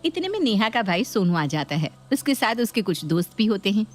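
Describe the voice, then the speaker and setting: A woman's voice: mid-range voice, very animated, fairly quick. A woman narrating a scripted family story.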